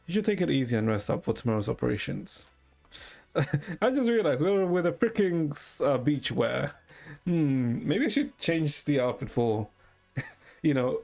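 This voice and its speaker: A man's voice: on the high side, a little mellow. A man streaming a game, reading its dialogue and narration aloud and adding casual commentary. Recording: game music faintly behind.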